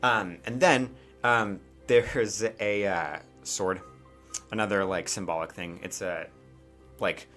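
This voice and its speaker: A man casually improvising narration as a game master, informal and halting, with frequent asides. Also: lowish voice, steady tone, a bit bright, soft background music.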